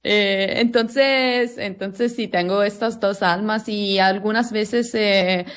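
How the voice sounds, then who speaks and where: A woman's voice: medium pitch, fairly even intonation, slow. A woman with an Italian accent answers interview questions conversationally in a second language, often searching for words. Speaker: interview guest, lawyer and illustrator.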